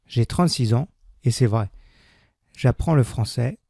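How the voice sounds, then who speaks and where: A man with a dark, gravelly voice reads a beginner French text at a measured pace. He repeats each sentence with careful diction. The recording is dry and close, with no room sound. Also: medium pitch, lively intonation, no room sound. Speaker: French language teacher. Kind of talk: slow scripted reading for learners.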